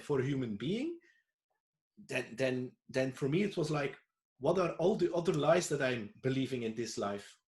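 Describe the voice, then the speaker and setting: A man from Belgium with a Flemish accent, a podcast host speaking in halting English with frequent restarts and questioning tags. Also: a little high. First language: Dutch. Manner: hesitant, halting, tag "eh?" fillers. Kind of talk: conversational interview.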